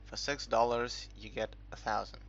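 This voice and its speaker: A man's voice: medium pitch, fairly even intonation, moderate pace, creaky, fairly bright tone. A man from the UK giving a casual, unscripted game walkthrough, rambling and hesitant as he thinks aloud. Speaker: gamer making a game tutorial. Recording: muffled, dull recording with background music.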